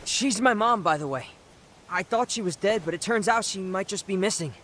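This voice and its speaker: An earnest young man in a video game drama. His voice sits fairly high for a man. Faint ambient noise runs behind the lines. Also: normal speed, fairly bright tone. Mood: candid. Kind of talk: English video game dub acting.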